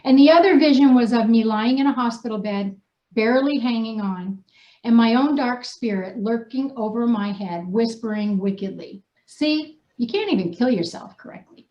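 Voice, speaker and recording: Woman host, higher-pitched voice, chatty, informal, from New Hampshire, dull lo-fi sound. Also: moderate pace. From New Hampshire, United States. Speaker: host and co-author. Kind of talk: casual unscripted group chat, reading aloud from a personal story. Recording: dull, echoey room sound.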